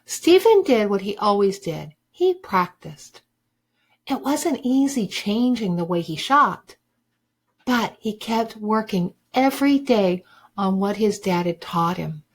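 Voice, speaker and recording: A woman reading a children's book aloud like a teacher, with deliberate, emphatic phrasing for young listeners. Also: medium pitch, lively intonation. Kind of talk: expressive storybook read-aloud.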